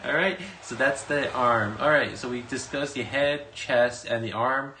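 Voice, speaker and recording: A man hosting a casual interview stream, chatty and prompting his guest with quick acknowledgements. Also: medium pitch, bright, forceful tone, echoey room, slight background noise. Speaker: stream host.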